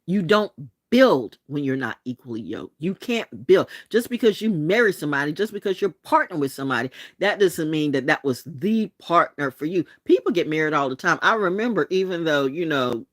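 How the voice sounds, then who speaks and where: A woman's voice: low voice, expressive, moderate pace, no echo, clean studio sound. A woman in her late sixties, hosting a late-night vlog monologue, talking bluntly and with profanity, with laughs and restarts. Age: 60s. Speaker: channel host.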